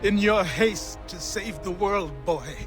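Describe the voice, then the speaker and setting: An actor playing an embittered old retired mentor in a video game, lecturing sternly. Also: male voice, high-pitched, takes it slowly, music in the background. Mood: stern, bitter. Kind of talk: dramatic video game acting.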